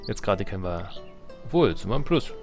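Low-voiced man commentating a strategy game. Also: big pitch swings, fairly quick, darkish tone. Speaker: Let's Play host. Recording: game music behind the voice. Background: video game music.

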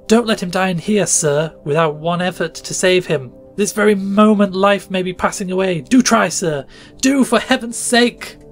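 A man's voice: sits high, slow pace, creaky, hardly any pauses, darkish tone, soft background music, pitched above the performer's own voice, heavily acted. A man voicing a desperate, grief-stricken woman of about fifty who pleads in near frenzy. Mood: frantic pleading.

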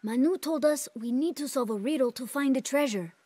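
A woman's voice: high voice, brisk, darkish tone, no room sound. A female performer playing a defiant, scrappy child treasure hunter in a video game cutscene. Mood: eager.

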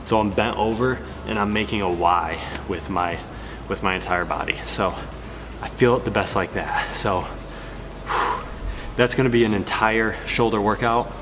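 A man's voice: on the low side, normal speed, music in the background. A man in his thirties giving casual workout tips to his camera in a large gym, with filler words. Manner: relaxed, talking between sets with fillers. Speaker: fitness vlogger and trainer. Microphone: camera-mounted mic, camera on a stand. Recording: reverberant gym hall.

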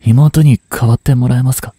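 A man in his twenties playing a remorseful, grieving young hitman in a voice drama, breathy and anguished. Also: medium pitch, fairly even intonation, mellow, dry recording, lightly acted. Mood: gentle, holding back. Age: 20s. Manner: anguished, halting, intimate. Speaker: voice actor. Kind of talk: Japanese voice drama monologue, emotional acting.

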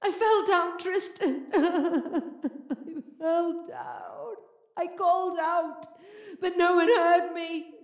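Radio play: actress as haunted Victorian woman, very high voice, extremely slow and halting, fretful yet tender. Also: fairly even intonation, echoey room, exaggerated voice. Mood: distressed, halting. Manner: slow, halting, breathy gasps and whispers.

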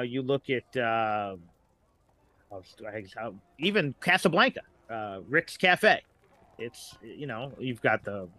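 A middle-aged man talking casually as a podcast guest, a writer who haltingly searches for examples as he goes. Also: mid-range voice, bright, forceful tone.

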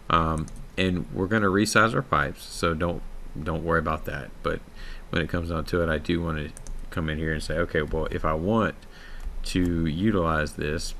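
A man talking casually through a software tutorial at his computer, with many fillers and restarts. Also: sits low, a little hiss or hum. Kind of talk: screen-recorded software tutorial.

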